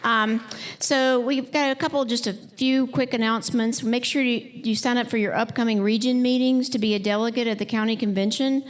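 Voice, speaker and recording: A woman's voice: on the high side, steady tone, a little fast, poor audio. A woman hosting an event makes informal announcements in a conversational, unscripted way. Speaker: event host.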